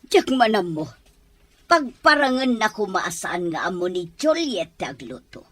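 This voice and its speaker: A woman's voice: medium pitch, big pitch swings, unhurried, a bit bright. A woman with an Ilocano accent playing a harsh, domineering mother-in-law in a radio drama. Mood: scornful. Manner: scornful, domineering.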